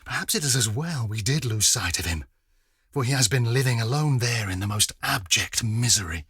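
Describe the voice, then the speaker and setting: An actor playing a coldly vengeful, implacable man with intense, deliberate delivery in an audio drama. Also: medium pitch, lively intonation, a bit bright, slightly rough, no echo, clean studio sound. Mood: urgent, secretive.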